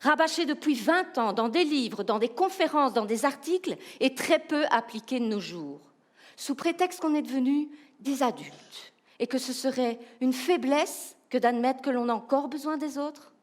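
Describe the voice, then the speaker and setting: A woman with a Belgian French accent giving a humorous, animated keynote talk, asking the audience rhetorical questions. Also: sits high, moderate pace. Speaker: keynote speaker, professional coach.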